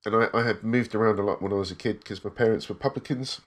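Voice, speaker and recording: A man co-hosting a casual podcast, telling his story in a thoughtful, measured way. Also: lowish voice, fairly even intonation. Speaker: podcast co-host. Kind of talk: casual chat.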